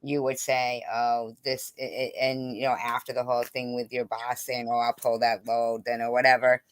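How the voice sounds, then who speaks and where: A livestream host in casual interview chat, talking insistently in halting bursts and circling back to the point. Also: medium pitch, flat delivery, dry recording.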